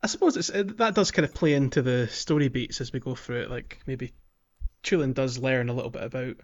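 Male podcast co-host, mid-pitched, loose and meandering, amused, chatty delivery, slightly dull lo-fi sound. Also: a little fast. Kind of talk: casual chat about a video game.

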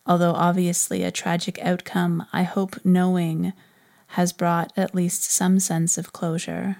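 A woman's voice: fairly low pitch, monotone, a little slow, vocal fry, a little mellow. A woman, reading as a podcast host in a scripted style. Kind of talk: scripted narration, reading prayer requests.

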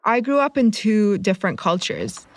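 A woman, born in Egypt, reading her podcast introduction in measured scripted narration. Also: medium pitch, big pitch swings, a little hiss or hum. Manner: measured, clearly read intros. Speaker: podcast host, journalist. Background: faint music.

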